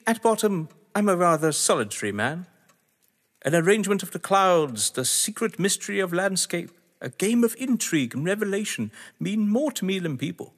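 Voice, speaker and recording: An actor playing a proud, eloquent, solitary gentleman who relishes games, in a theatrical audio drama style. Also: a little high. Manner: grandiloquent, theatrical. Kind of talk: full-cast audio drama acting of a stage play. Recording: long hall reverb.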